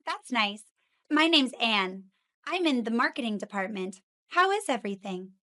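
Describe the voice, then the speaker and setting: Woman acting a friendly female colleague, high bright voice, scripted learner conversation. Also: big pitch swings, moderate pace. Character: friendly female office colleague welcoming a newcomer. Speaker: voice actor in English lesson dialogues.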